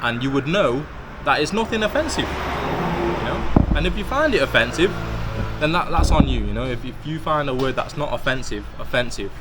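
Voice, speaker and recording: A man's voice: medium pitch, big pitch swings, normal speed. A man from Thailand hosting a vlog, ranting candidly and opinionatedly outdoors by a street restaurant, with lots of "you know". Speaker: vlog host. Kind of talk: casual ranting monologue. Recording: clear street traffic noise.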